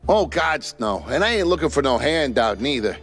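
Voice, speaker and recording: A weary, kindhearted male bartender mouse from a noir game. The folksy, slangy speech rises and falls a lot at a middling pitch, over faint music. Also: big pitch swings, normal speed, fairly bright tone. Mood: resigned, kindly.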